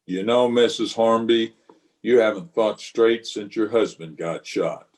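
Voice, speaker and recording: A man playing a calm, weary, steadfast Old West marshal in a radio western, measured, grave and courteous. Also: lowish voice, natural voice. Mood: grave, firm.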